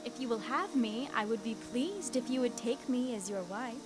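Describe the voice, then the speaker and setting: A woman's voice: on the high side, moderate pace, over music, natural voice. A woman playing an earnest, pleading widow heroine in a radio drama, with formal phrasing. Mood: earnest, grateful.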